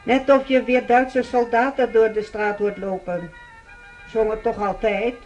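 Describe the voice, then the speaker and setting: An actress playing a chatty, cheerful hospital patient making small talk in a naturalistic radio drama. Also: female voice, a little high, fairly even intonation, a little slow, a little mellow, lo-fi sound, faint music behind, lightly acted. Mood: friendly. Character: chatty, cheerful woman patient keen on holidays.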